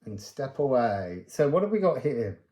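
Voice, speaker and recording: A man's voice: mid-range voice, sing-song, moderate pace, dark tone, roomy sound, highs cut off. A man giving an unscripted spiritual card reading, musing and meandering as he drifts between thoughts. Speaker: oracle card reader and host.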